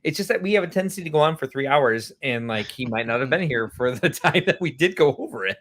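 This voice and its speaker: A man co-hosting a live stream, bantering in a teasing, playfully dramatic way. His pitch swoops up and down as he jokes around. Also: animated, fast talker, fairly bright tone. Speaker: co-host.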